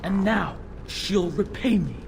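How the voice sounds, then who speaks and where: A woman's voice: medium pitch, slow, gravelly, darkish tone, a little hiss or hum. A woman voicing a young boy possessed by a demon in a video game, cruel, mocking and imperious, with music behind. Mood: petulant, scornful.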